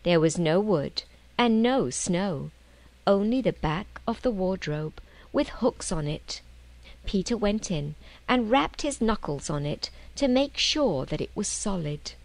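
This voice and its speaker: A woman narrating a children's storybook in an expressive, measured audiobook style. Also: medium pitch, low-quality recording.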